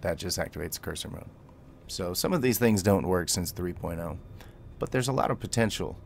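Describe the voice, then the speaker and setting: Male tutorial host, low register, casual explainer with clipped commands, slight background ambience. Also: expressive, normal speed, creaky, slight background noise. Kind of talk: gameplay tutorial commentary.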